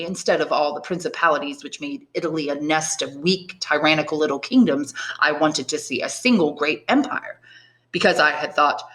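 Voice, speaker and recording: A woman's voice: low voice, fairly bright tone, poor audio. A woman voicing an elderly, learned imprisoned priest in an audiobook, speaking emphatically and articulately with bitterness and resignation. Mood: bitter, earnest. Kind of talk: dramatised audiobook reading of a classic novel.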